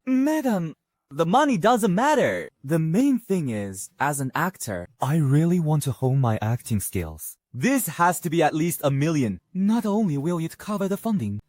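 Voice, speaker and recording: A man's voice: a little high, very animated, moderate pace, a little mellow, dry recording. A man playing a cocky, scheming young actor in an English anime drama dub, with a theatrical, exclamatory manner. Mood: eager, scheming. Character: cocky young male actor posing as a lord, flustered and scheming.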